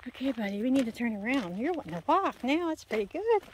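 A woman with a fairly high voice talks off the cuff as she walks, slightly winded. She rambles casually to her viewers. It is a handheld phone recording outdoors, with some faint noise around her. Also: very animated, fast. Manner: rambling, unscripted, addressing viewers. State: a little out of breath. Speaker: vlogger. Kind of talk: casual chat while walking a dog. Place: outdoors on a rural gravel road.

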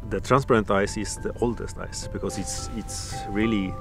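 A Norwegian man, a musician, speaking English as a second language in a calm, reflective documentary interview. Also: fairly low pitch, moderate pace, vocal fry. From Norway. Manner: calm, reflective, slightly non-native phrasing. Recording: music playing behind.

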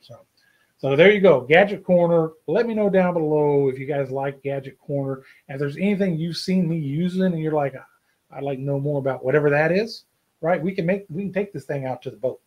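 Brief: man, 50s, easygoing vlogger, voice sits a bit high, keeps tagging "right?" onto lines, small home office. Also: a little fast, a little mellow. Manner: chatty, keeps asking "right?" and "okay?". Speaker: outdoors vlogger. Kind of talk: casual to-camera vlog. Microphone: desk camera, head and shoulders.

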